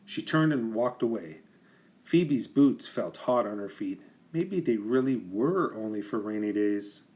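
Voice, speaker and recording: A man reading a children's picture book aloud like a teacher, with expressive, deliberate emphasis for young listeners. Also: fairly low pitch. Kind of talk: expressive children's storybook narration.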